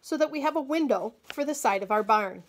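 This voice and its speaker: A woman's voice: on the high side, expressive, a little fast. Woman patiently demonstrating a table-top craft. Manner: clear, patient instructional delivery. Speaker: agriculture educator. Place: small indoor room, table-top demo.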